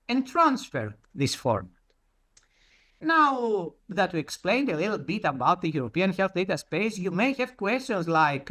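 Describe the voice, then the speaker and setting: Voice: mid-range voice, fairly bright tone. A presenter giving an explanatory talk in a didactic way, posing questions and then answering them.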